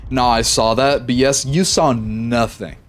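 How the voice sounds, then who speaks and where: A young man live-streaming a shooter game, chatting casually with his viewers and reacting quickly during fights. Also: mid-range voice, fairly even intonation. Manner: easygoing, chatty, reads chat aloud, quick reactions mid-fight. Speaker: gaming streamer. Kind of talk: casual live-stream chat while playing.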